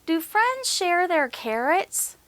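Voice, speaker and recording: A woman's voice: very high voice, sing-song, slow pace, fairly bright tone, no room sound, pitched above the performer's own voice, heavily acted. A woman voicing a timid, lonely male bear from a storybook, meek and childlike in manner. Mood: curious.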